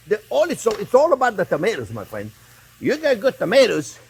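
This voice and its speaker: A man's voice: sits high, a little hiss or hum. A man from France with a French accent, hosting a cooking demonstration in a home kitchen, chatty and enthusiastic, calling his viewers friends. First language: Italian. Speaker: chef host.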